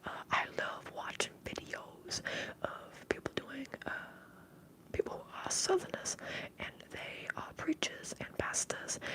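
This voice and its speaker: A woman whispers in an affected Southern American accent, her voice high and full of movement. She talks haltingly, staying in character as a bartender while chatting as a livestream host in soft ASMR style. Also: rough recording. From upper Midwest, United States.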